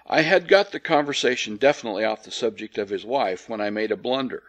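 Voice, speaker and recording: A man reads a classic ghost story as an audiobook narrator. His delivery is measured and formal, with a dryly cynical edge. The voice sits at a middling pitch. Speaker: audiobook reader. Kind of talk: dramatic scripted narration of an old ghost story.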